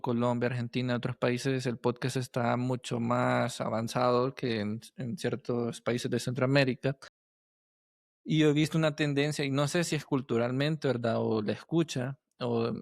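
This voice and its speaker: A man hosting a casual podcast interview, thinking aloud haltingly and often ending phrases with tag questions. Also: mid-range voice, vocal fry, dry recording, studio quality.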